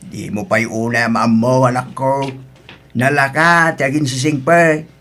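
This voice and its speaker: A man's voice: medium pitch, fairly even intonation, a little slow, bright, forceful tone. A man acting in an Ilocano radio drama as a wary, disapproving older father of a grown daughter, speaking with an Ilocano accent.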